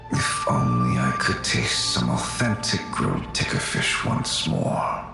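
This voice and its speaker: A weary ghostly warrior, echoing. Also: male voice, very deep voice, very animated, gravelly, music in the background, exaggerated voice. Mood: melancholy. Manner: slow, sorrowful and formal, sighs often. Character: weary melancholy ghost of an ancient warrior guardian, male. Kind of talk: video game voice acting. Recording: heavy echo on the voice.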